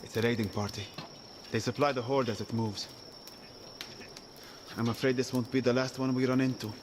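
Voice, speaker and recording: A man plays a protective, wry shepherd guiding someone through danger in a fantasy game. He sounds wary and urgent, keeping his instructions low-key, at a middling pitch. Crickets and birds sound clearly around him. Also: steady tone, normal speed, background noise, lightly acted. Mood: hushed, urgent. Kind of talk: video game voice acting.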